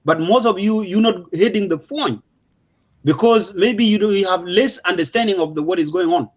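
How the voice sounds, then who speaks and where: Male political commentator, South Sudanese accent, high-set voice, emphatic and repetitive explainer, muffled low-fidelity recording. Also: moderate pace. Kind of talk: unscripted explanatory political discussion. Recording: dull, lo-fi audio.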